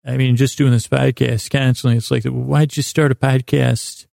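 A man hosting a sleep podcast, telling stories in a casual, meandering way with a gravelly voice, often halting and trailing off mid-thought. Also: mid-range voice, little pitch movement, a little mellow, dry recording, clean studio sound. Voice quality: gravelly, creaky voice. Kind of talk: rambling casual bedtime storytelling monologue.

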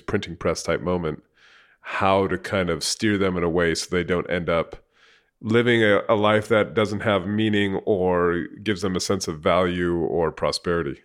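A man in his forties hosting an interview, asking long, casual questions full of fillers and restarts. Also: low-pitched. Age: 40s. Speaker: podcast host. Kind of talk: conversational interview.

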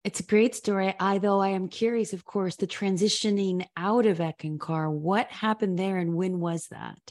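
A woman hosting a podcast interview, listening attentively and answering with soft affirmations and short, curious questions. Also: medium pitch, steady tone, moderate pace. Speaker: podcast host. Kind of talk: interview conversation.

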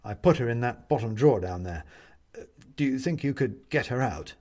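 A man voicing a grumpy, solitary man in a storybook, dazed and sheepish, with halting, hesitant politeness. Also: medium pitch, lively intonation, mellow, close to an everyday voice. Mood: awkward, apologetic.

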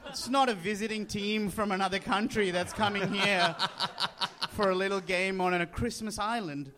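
A young man from Sri Lanka, co-hosting a comedic chat and breaking into loud joking outbursts. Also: high voice, fairly even intonation, normal speed, crowd noise. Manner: jokey, bursts into loud laughing exclamations. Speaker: co-host.

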